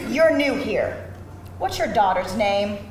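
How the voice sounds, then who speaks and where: A woman's voice: medium pitch, animated, takes it slowly. A woman playing an intense, bullying soccer mom in a live table read, curt, menacing and emphatic. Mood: demanding. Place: live event room before an audience. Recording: very echoey room, faint noise.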